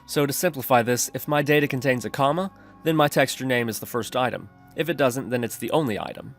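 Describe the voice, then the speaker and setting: A man's voice: mid-range voice, fast talker, faint music behind. A man narrating a step-by-step screencast tutorial, explaining his reasoning as he goes. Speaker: programming tutorial host.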